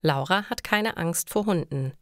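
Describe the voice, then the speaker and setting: A woman's voice: fairly low pitch, measured pace, a bit bright, no echo, clean studio sound. A woman narrating scripted short stories for language learners.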